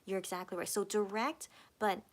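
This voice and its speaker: A young woman talks casually on a live stream, answering her chat comments one by one. She rambles and repeats herself, with plenty of fillers. Her voice sits at an ordinary middle pitch for a woman. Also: steady tone, normal speed. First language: English. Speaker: livestream host reading chat.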